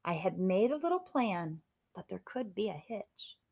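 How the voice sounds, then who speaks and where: A woman's voice: medium pitch, big pitch swings, a little slow, creaky, darkish tone, slightly rough. A woman reading a rhyming children's story aloud in a theatrical, emphatic way. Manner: theatrical, emphatic delivery of rhymes. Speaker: storybook reader.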